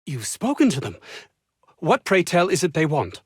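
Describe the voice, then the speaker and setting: Pompous, high-pitched anxious scientist. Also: male voice, huge pitch swings, moderate pace, husky, no echo, studio quality, heavily acted. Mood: anxious, pleading. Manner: haughty, fussy, formal old-fashioned diction. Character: pompous, ambitious, anxious male research scientist. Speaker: video game character voice. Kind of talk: theatrical game dialogue.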